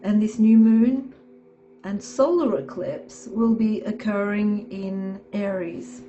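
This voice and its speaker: Slow hoarse woman reading tarot. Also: mid-range voice, warm tone, lo-fi sound, faint music behind. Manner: halting, many fillers and "okay"s. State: hoarse from a sore throat. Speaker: tarot reader.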